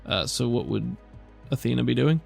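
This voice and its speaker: Man narrating a tabletop game, creaky voice. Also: medium pitch, animated, moderate pace, darkish tone, soft background music. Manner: hesitant, conversational narrating. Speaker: game master.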